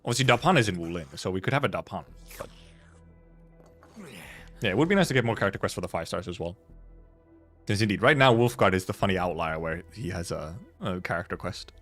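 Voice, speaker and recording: A man's voice: sits low, expressive, quick pace, long pauses, a bit bright, soft background music. A man chatting casually on a game livestream, rambling and repeating himself as he talks. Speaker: game streamer.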